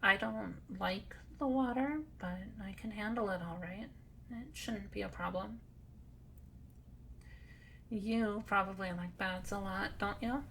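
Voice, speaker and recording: A woman playing a timid, sweet, calm young cat girl in a roleplay audio drama, soft-spoken, hesitant and gently dry. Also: mid-range voice, a little slow, creaky, slight background noise. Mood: shy, hesitant.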